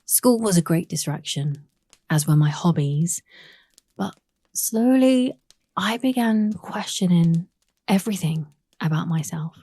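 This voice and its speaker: A woman narrating a first-person story in a confessional, halting manner with dramatic pauses. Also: fairly low pitch, lively intonation, dark tone, husky, dry recording.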